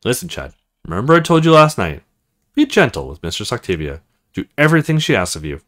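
A man's voice: mid-range voice, sing-song, fast, a bit bright. Man reading as stern, teasing military woman. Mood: commanding.

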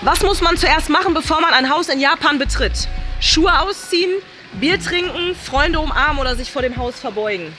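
A woman's voice: high-pitched, animated, moderate pace, sharp tone, faint music behind. A young woman casually presenting a challenge to camera and bantering with a group in a large indoor pool hall. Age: young adult. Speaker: host and quizmaster of a challenge video.

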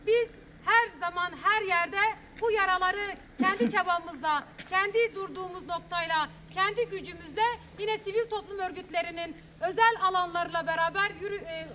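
A woman in her thirties giving an earnest press statement outdoors in front of rubble, with halting restarts. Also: very high-pitched, bright, forceful tone, slight background noise.

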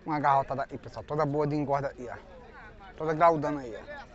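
A man's voice: fairly high pitch, fast. A man with a Northeastern Brazilian accent hosting a casual, folksy vlog outdoors, often tagging his phrases with small questions. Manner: folksy, repeats prices back, tag questions. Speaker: vlog host. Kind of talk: casual livestock market tour, asking prices. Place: outdoor cattle market pens. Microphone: handheld phone, behind the camera. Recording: dull outdoor phone audio.

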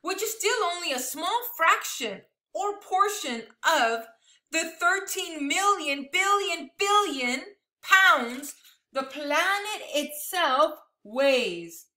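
A woman's voice: very high-pitched, lively intonation, takes it slowly, sharp tone. A woman in her thirties reading a picture book aloud expressively to young children like a schoolteacher, with chatty asides spoken directly to the listeners. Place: living room at home, indoors. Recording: echoey home room.

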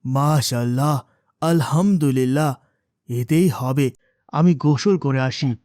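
A poor, devout working father in a moral cartoon, acted by a man. He is humble and honest, with a mid-pitched voice recorded dry and close. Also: measured pace, darkish tone, no room sound, lightly acted. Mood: earnest, humble. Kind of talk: cartoon moral-story voice acting.

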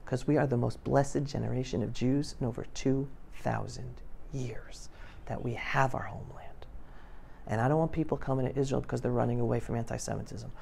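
A middle-aged man giving an impassioned, animated motivational talk to young people, with emphatic delivery. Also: medium pitch, brisk, vocal fry, a little hiss or hum. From New York City, United States. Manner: emphatic, animated, swinging between loud and hushed. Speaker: guest speaker addressing high school students. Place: classroom, indoors. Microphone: lapel mic.

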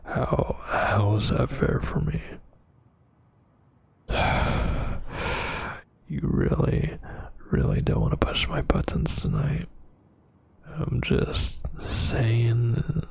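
A man playing a brooding, dominant vampire boyfriend in an ASMR roleplay, scolding haltingly in a husky, breathy near-whisper. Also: medium pitch, darkish tone, exaggerated voice. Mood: irritated, warning.

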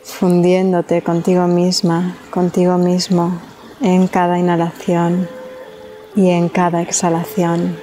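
A woman from leads a guided meditation in a calm, soothing way, leaving long pauses between phrases. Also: on the low side.

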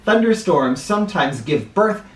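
An American man in middle age reads to children with very animated, swooping intonation. He takes his time and leans into words for drama. The sound is roomy, picked up by a camera in a living room. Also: high-pitched. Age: middle-aged. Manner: expressive, dramatic, deliberate emphasis. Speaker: children's picture book reader and host. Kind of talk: read-aloud for children with spoken asides. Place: small living room, indoors.

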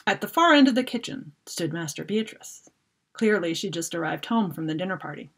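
Husky, animated woman reading a storybook. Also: fairly low pitch, brisk. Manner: animated, dramatic reader. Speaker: author reading from her children's fantasy book. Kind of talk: expressive storybook read-aloud. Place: book-lined room at home.

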